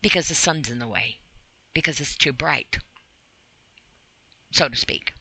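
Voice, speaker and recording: A woman's voice: rumbling low, measured pace, vocal fry, pushed and bright, breathy edge, rough recording. A woman reading aloud and adding informal motivational commentary in an earnest, conversational way, often speaking straight to the listener. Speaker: podcast host reading and commenting on a sobriety e-book.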